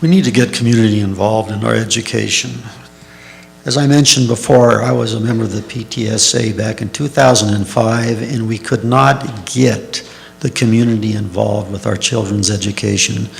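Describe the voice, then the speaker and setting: A man's voice: lowish voice, steady tone, moderate pace, creaky, big-room sound. A man school board candidate answering at a public forum, earnest and hesitant.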